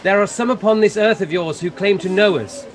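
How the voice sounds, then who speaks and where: A man playing a stern, solemn Christmas spirit in an amateur radio play, speaking in a deliberate, formal way. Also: sits high, a little hiss or hum, natural voice. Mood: stern rebuke. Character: solemn, rebuking male Spirit of Christmas Present.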